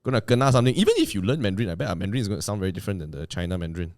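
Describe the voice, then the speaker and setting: A man's voice: lowish voice, very animated, very fast, darkish tone, dry recording, studio quality. A man from Malaysia with a Malaysian English accent, telling stories in a casual podcast chat. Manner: chatty storyteller, tags sentences with "lah" and "man". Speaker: podcast co-host.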